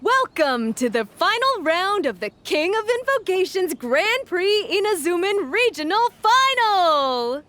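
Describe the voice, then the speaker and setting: A woman's voice: extremely high pitch, sing-song, unhurried, hardly any pauses, a bit bright, heavily acted. A woman playing an upbeat, ceremonious tournament announcer in an anime game, drawing out her delivery.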